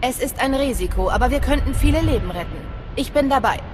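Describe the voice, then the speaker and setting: A woman playing a composed, determined secret agent in a video game, measured and terse. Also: high voice, expressive. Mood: resolute. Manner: measured, terse, self-assured. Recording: long reverb, faint music behind.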